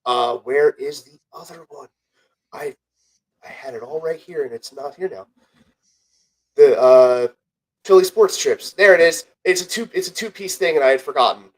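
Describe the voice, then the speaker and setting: A young man with a slightly high voice, a bit stuffed up and nasal from a cold. He co-hosts a relaxed sports podcast and rambles through the talk and the ad reads. He stops to correct himself and cracks jokes as he goes. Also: moderate pace, pauses often, low-quality recording. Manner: rambling, self-correcting, joking. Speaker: podcast co-host.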